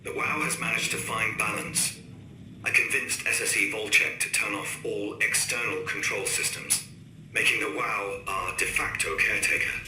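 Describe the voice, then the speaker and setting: Grave game scientist, deliberate, ominous, low but piercing, echoey. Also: male voice, steady tone, pushed and bright. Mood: grave, measured. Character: grave male scientist recording a worried log. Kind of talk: dramatic game audio log. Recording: echoey room with faint noise.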